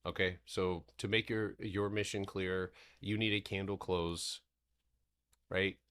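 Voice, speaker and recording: A man hosting a casual livestream market recap. He talks in a loose, rambling way, swears casually, and slows into a steady explanatory tone when walking through charts. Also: sits low, slight background noise. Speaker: stock trading streamer.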